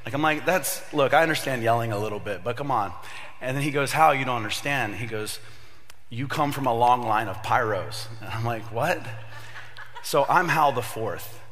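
A man in his thirties, a pastor with a slightly raspy voice from a cold, giving a casual, joking sermon to young adults. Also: medium pitch, normal speed, fairly bright tone, in a large hall. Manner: chatty storyteller, jokey asides, many fillers.

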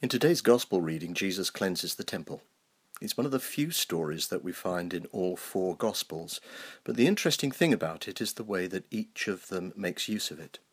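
Male cleric, lowish and raspy, measured delivery for scripted preaching and Bible reading. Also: animated. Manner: measured, explanatory, rhetorical questions. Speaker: clergyman, Anglican canon.

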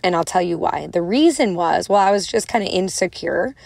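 A woman's voice: fairly low pitch, animated, fairly quick, vocal fry, darkish tone, dry recording. A young woman with a strong Chicago accent, hosting a solo motivational podcast in a casual, encouraging way. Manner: casual, encouraging, acts out inner voices. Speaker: podcast host.

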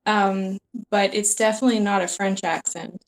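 Quebec woman, podcast guest, soft-spoken and friendly, a little hesitant. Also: mid-range voice, steady tone, moderate pace, rough recording. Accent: Quebec English. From Quebec, Canada. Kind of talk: casual chat over a video call.

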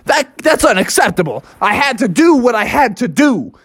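A defensive bear dad from a storybook, voiced by a storyteller, protesting with exasperated emphasis. The pitch sits a bit raised and moves around in a lively way. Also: normal speed, breathy edge.

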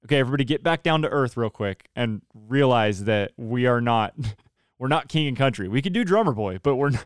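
A man with a clean, smooth voice and a middling pitch hosts a relaxed interview podcast. He talks in a chatty, self-deprecating way and likes to joke. The sound is close and dry. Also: a little fast, no echo. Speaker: podcast host; church worship leader. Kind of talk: casual conversational interview.

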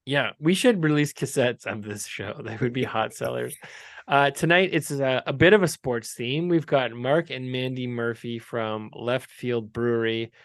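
A man in his forties hosting a casual podcast, rambling and joking, quick to laugh at his co-host. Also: mid-range voice, no room sound, studio quality. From Canada. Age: 40s. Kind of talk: casual chat.

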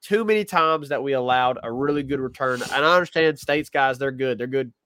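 A man's voice: mid-range voice, fairly quick, a bit bright, clear, resonant tone, no room sound. A man with a stuffy nose from a cold, co-hosting a casual sports talk show and thinking aloud with lots of restarts. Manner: rambling, self-correcting, thinking aloud. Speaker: podcast co-host.

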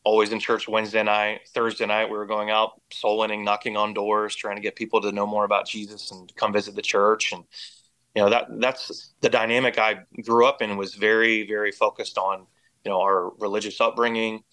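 Man, American accent, lowish pitch, flat intonation, earnest, reflective, personal testimony, interview guest. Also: fairly quick, smooth, rough recording. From Indiana and Oklahoma, United States. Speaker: podcast guest.

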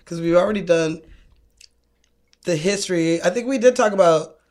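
A woman's voice: lowish voice, moderate pace, a little mellow. A woman co-hosting a podcast, explaining things in casual, informal talk with swearing. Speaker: podcast co-host. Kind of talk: casual chat.

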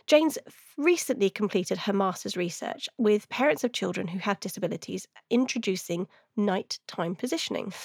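A woman's voice: medium pitch, no room sound, clean studio sound. A woman hosting a podcast, introducing the show and her guests with enthusiasm. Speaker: podcast host.

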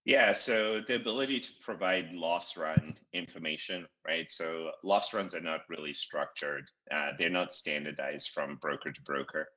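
Very deep-voiced man, bright tone. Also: fairly even intonation. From Zimbabwe. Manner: measured, with frequent "uh" pauses. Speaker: startup CEO guest. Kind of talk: interview answers. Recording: dull lo-fi audio.

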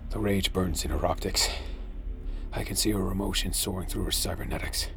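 A man's voice: sits low, a little fast, noisy background. An actor playing a weary, sardonic space pirate who tells his story in an audio drama. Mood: tense, subdued. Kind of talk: first-person audio drama narration with acted dialogue. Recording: dramatic music under the voice. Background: music.

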